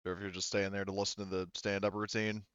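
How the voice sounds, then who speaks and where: A man's voice: lowish voice, flat delivery, quick pace, a bit bright, clear, resonant tone, dry recording, muffled recording. A man running a tabletop roleplaying game, narrating casually in a rambling way with many false starts. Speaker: game master.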